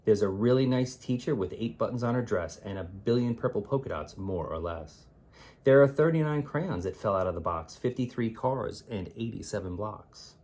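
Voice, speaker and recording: A man reading children's rhymes aloud as a teacher would, in an expressive, theatrical way with drawn-out, emphatic words. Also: lowish voice, breathy edge. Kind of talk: expressive children's read-aloud of rhyming poems.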